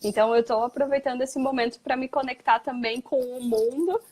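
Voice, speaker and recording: A woman's voice: high voice, a little fast, a little mellow. A woman hosting a casual live stream who chats freely and reads out viewers' comments. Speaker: host.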